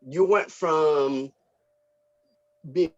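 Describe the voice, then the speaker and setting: A man giving informal notes as a director, halting and searching for his words. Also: a little high, darkish tone, muffled recording.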